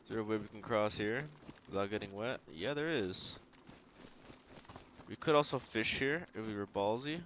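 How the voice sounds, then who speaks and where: A man giving casual, low-key video game commentary, thinking aloud as he plays. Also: normal speed, fairly bright tone, slight background noise. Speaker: game streamer.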